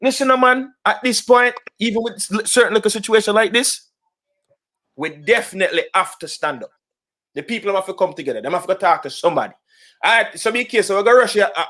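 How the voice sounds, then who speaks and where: A man's voice: high-pitched, expressive, fast talker, sharp tone. A man with a Jamaican accent, a panel guest giving an impassioned call to action full of rhetorical questions.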